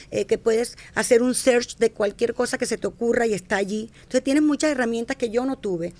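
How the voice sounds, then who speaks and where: A woman's voice: mid-range voice, a little mellow. A middle-aged woman, telling stories about her career as a podcast guest. She restarts and corrects herself often and acts out quoted speech. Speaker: podcast guest, fashion entrepreneur. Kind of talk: casual interview storytelling.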